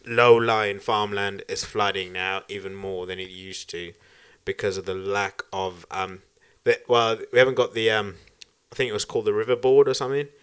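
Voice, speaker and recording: A man's voice: fairly low pitch, flat delivery, moderate pace, few pauses, a bit bright, clean tone. A man chatting casually as a podcast co-host, sweary and blustering in his banter.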